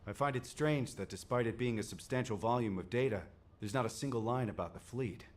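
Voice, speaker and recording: An actor playing a grave male military commander with a steady, serious briefing tone. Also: medium pitch, fairly quick, natural voice.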